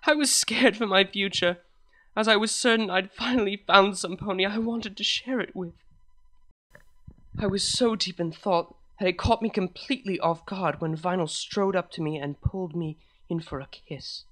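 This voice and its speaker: A narrator reading a first-person romantic story aloud in an expressive, emotive way. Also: mid-range voice, normal speed. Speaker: fan fiction narrator.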